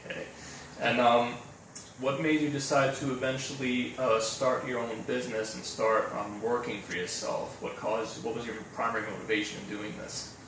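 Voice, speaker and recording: Male interviewer, mid-pitch, halting friendly questions, distant roomy sound with faint hiss. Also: steady tone, a bit bright. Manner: friendly, hesitant, many fillers.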